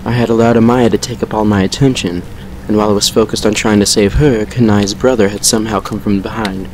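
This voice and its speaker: A man playing a brooding vampire who recounts a painful past with measured regret in an audio drama. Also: on the low side, fairly even intonation, darkish tone, slight background noise, natural voice, rough recording. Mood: grim, regretful. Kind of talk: fan fiction audio drama monologue.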